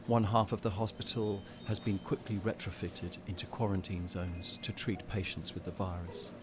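A man's voice: deep voice, lightly acted. A man acting as the grave narrator of a scripted audio drama. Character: grave storyteller of a virus outbreak drama.

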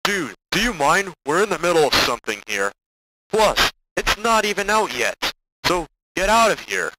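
A man's voice: high-pitched, sing-song, moderate pace, very noisy. A man playing a level-headed young cartoon hedgehog who hosts an amateur audio drama, casual and slangy. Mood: annoyed. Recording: loud music behind the voice.

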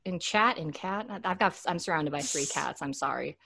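A woman's voice: deep voice, normal speed, gravelly, pushed and bright. A young woman chatting casually and playfully on a live stream from home. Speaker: podcast voice performer on a live stream. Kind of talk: casual chat. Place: indoors at home.

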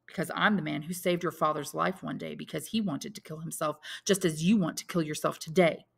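A woman voicing a calm, resolute older Count from a storybook, speaking in a measured, firm, authoritative manner. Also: low voice, vocal fry, husky, full character voice. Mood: commanding.

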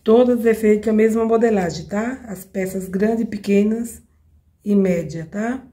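A Brazilian woman with a cold, her voice hoarse and mellow, walks viewers through a craft project at her work table. She talks in a chatty way and keeps checking in with the people watching. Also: medium pitch, measured pace. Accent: Brazilian Portuguese. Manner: chatty, addresses viewers directly with frequent checks. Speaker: craft tutorial host. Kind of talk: step-by-step craft demonstration. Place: home craft table, indoors.

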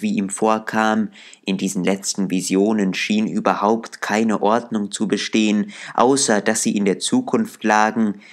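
Slow, low-voiced male narrator. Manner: calm, measured storytelling. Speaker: audiobook narrator. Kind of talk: scripted narration.

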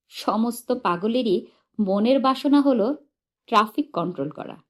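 High-pitched, animated woman storyteller. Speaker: audiobook narrator. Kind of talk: expressive narration of a humorous short story.

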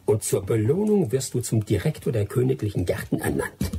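An actor playing a bitter, scheming colonial-era adventurer in a radio drama, theatrical and sardonic. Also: male voice, medium pitch, sing-song, a little fast, gravelly, background noise. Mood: gloating, menacing. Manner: theatrical, muttering and gloating. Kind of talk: radio drama acting with first-person narration.

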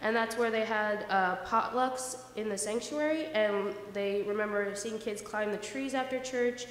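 A woman's voice: mid-range voice, unhurried. A young woman reading aloud to a congregation as a co-presenter, at a stand microphone in a large echoey church. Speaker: co-presenter reading listener dedications. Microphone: vintage-style stand microphone. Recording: echoey church hall, faint noise.

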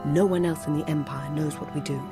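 A woman playing a composed, secretive and commanding agent in a video game, formal and measured. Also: deep voice, fairly even intonation, music in the background. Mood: secretive, grave. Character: composed, secretive female dark-order agent, commanding and grave.

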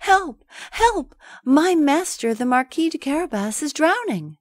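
A woman's voice: medium pitch, animated, unhurried, darkish tone, dry recording, clean studio sound. A woman voicing a clever scheming male cat who speaks with courtly politeness, in a storybook reading. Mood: alarmed.

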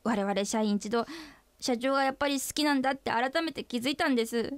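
An actress playing a blunt, teasing young company employee in a radio drama, with a deadpan, brisk delivery. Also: female voice, on the high side, smooth, no echo, close to an everyday voice. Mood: earnest.

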